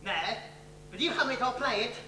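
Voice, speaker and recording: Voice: mid-range voice, fairly quick, lightly acted. Someone playing a sarcastic matey panto sidekick, blunt and slangy, echoey noisy stage tape. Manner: sarcastic, colloquial. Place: theatre stage before an audience.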